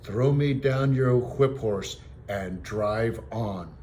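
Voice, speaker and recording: A man's voice: medium pitch, slow, warm tone, close to an everyday voice. A man voicing a big, hairy, greedy outlaw from a storybook, angry and bullying, growling threats in rustic slang on a noisy home recording. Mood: gleeful, roaring.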